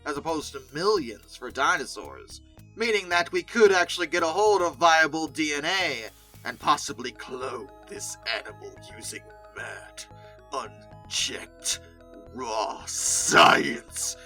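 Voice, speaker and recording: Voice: medium pitch, expressive, slow pace, bright, forceful tone. An opinionated video host giving scripted commentary with conversational asides, stressing points by emphatic repetition. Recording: music playing behind the voice. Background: background music.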